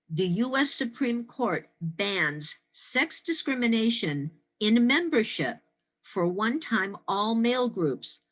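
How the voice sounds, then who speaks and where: An American woman in her sixties giving a prepared talk as a storyteller, with deliberate, emphatic phrasing and dramatic pauses. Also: medium pitch. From Detroit, Michigan, United States. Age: 60s. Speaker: storyteller and speaker. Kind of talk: prepared historical talk with personal stories.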